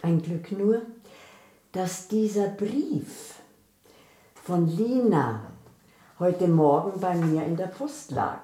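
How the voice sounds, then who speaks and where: An actress playing a cool, knowing accuser in a German radio drama, measured and sardonic. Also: female voice, on the low side, expressive, dark tone, roomy sound. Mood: dry irony. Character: cool, knowing, ironic accuser named Eisenberg.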